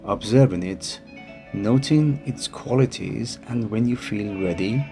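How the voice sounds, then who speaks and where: A man narrating a guided meditation in a calm, soothing manner with unhurried phrasing. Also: on the low side. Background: music behind the voice.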